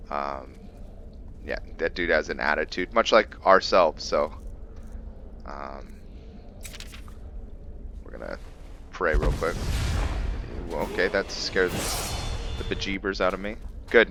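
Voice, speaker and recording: A man's voice: deep voice, sharp tone, a little hiss or hum. A man giving casual let's-play commentary, hesitant and rambling, with lots of fillers. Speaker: gameplay narrator.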